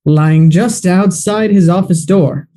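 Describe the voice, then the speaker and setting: An actor playing the deliberate, dramatic narrator of an old-time style detective radio play. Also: male voice, sits high, warm tone. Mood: dramatic, suspenseful. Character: melodramatic detective-serial narrator.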